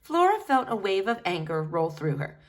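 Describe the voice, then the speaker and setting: A woman reading a children's novel aloud as expressive storytelling narration. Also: medium pitch, normal speed. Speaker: storybook reader.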